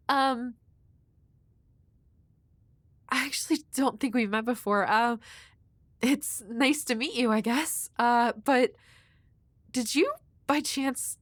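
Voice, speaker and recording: A woman acts out a shy young woman from next door in an intimate audio roleplay. Her voice is very high and close, with a dry sound. She speaks slowly and nervously, stammering and hesitating in an awkward, sweet way. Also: no echo. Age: young adult.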